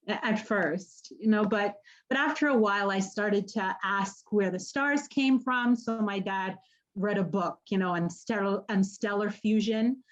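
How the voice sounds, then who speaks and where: An actress playing an earnest, haunted woman in an audio drama, speaking haltingly and searching for her words. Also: mid-range voice, muffled recording, close to an everyday voice. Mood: wistful. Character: earnest, haunted woman running an apocalypse support group. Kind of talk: naturalistic podcast radio drama acting.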